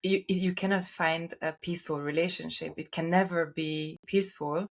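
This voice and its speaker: A woman with a slightly low voice talks casually as a podcast co-host. She is reflective and a little halting, reworking her phrases as she goes. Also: fairly even intonation.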